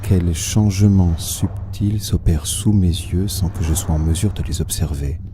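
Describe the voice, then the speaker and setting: An actor playing a haunted, brooding man who narrates his uneasy memories in a solemn, measured literary reading. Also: deep voice, noisy background. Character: haunted, brooding man recalling an uncanny old house. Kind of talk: dramatised literary reading with sound design.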